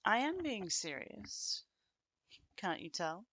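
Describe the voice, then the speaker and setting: Woman acting as affectionate female companion, ASMR roleplay, bright crisp voice, unhurried, casual gentle teasing, very dry close sound. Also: mid-range voice, sing-song, no echo, exaggerated voice. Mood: skeptical, amused.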